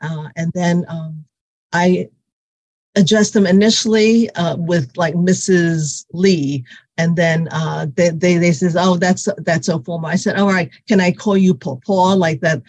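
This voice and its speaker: A woman physical therapist answering interview questions in a halting way, with many fillers and restarts, whose first language is Cantonese. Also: lowish voice, steady tone, a little mellow, dry recording.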